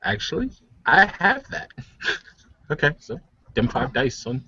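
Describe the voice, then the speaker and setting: A man's voice: medium pitch, very animated, a little slow, creaky, sharp tone, slightly rough, muffled recording. A man playing a tabletop roleplaying game, chatting and joking casually in slangy, sweary, exclaiming talk.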